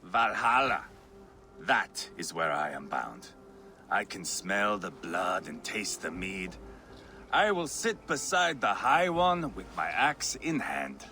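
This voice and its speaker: An actor playing a brash, bloodthirsty, mocking Viking warrior with a theatrical, boastful manner in a video game cutscene. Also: male voice, deep voice, big pitch swings, slow, sharp tone, slight background noise, heavily acted. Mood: grandiose, brooding. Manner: theatrical, boastful, swinging between teasing and menace.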